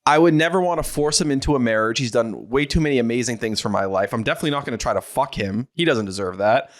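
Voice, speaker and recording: A man's voice: medium pitch, quick pace, dry recording, studio quality. A man co-hosting a sports podcast, explaining himself in a casual, earnest, rambling way. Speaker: podcast co-host.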